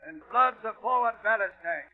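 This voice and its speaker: High-voiced, stern submarine captain. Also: male voice, expressive, normal speed. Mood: barking, commanding. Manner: commanding, scornful. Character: stern, short-tempered German U-boat captain. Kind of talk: 1950s radio drama acting. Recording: dull old radio recording.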